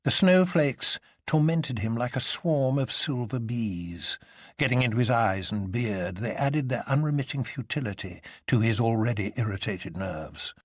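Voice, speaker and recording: A man narrating a literary novel as a dramatic audiobook, in an expressive, measured storytelling manner. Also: mid-range voice, no echo, clean studio sound.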